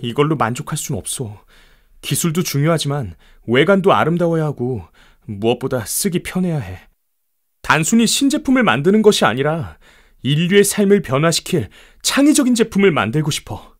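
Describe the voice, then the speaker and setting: A man's voice: fairly high pitch, very animated, normal speed. An actor playing a confident, ambitious young male inventor in a children's audio storybook, earnest and emphatic. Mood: determined.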